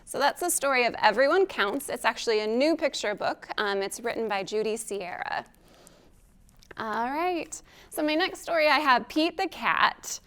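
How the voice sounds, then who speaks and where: A woman's voice: a little high, expressive, normal speed, a bit bright. A woman hosting a children's storytime as a librarian, reading aloud expressively and putting questions to young listeners.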